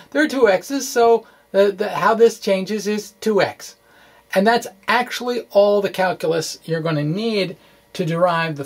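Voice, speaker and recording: A man giving an informal science lecture in a conversational, somewhat halting way with chatty asides. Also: sits high, creaky. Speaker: physics explainer.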